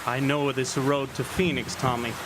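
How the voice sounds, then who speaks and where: A man's voice: mid-range voice, level tone, moderate pace, close to an everyday voice. A man playing a stubborn, cheerful middle-aged Italian immigrant farmer in a radio drama, with an Italian accent and simple, easygoing English. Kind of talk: live radio drama acting. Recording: noisy outdoor amphitheater stage recording.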